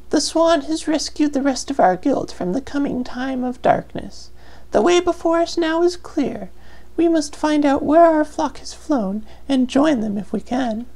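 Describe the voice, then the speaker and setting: An actress playing an elderly, motherly weaver guardian in a video game, speaking in a grave, portentous, deliberate way. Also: high voice, creaky, dark tone, heavily acted. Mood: solemn urgency. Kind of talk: dramatic video game voice acting.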